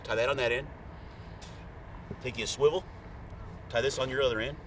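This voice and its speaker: A man's voice: medium pitch, steady tone, normal speed, fairly bright tone. A middle-aged man, casually explaining step by step how to tie a fishing rig. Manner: plain, folksy, step-by-step instructions. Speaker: fishing guide. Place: outdoors on a boat on a lake. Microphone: camera mic from a few feet away. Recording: distant outdoor camera audio with faint boat noise.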